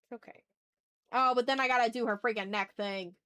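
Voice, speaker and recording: A woman's voice: fairly high pitch, steady tone, brisk, fairly bright tone. A woman hosting a live art stream, chatting casually and talking herself through her work with repeated short phrases and mild exclamations. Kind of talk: casual livestream chat, muttering to self while drawing.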